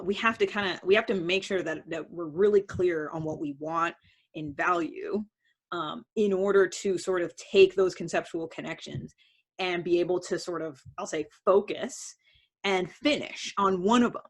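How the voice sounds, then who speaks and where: A woman's voice: on the low side, moderate pace, slightly rough. A woman vlogger explaining things casually and unscripted, in a rambling conversational way with many hedges. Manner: conversational, rambling, hedging with "like" and "sort of". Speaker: video blogger on personality typing.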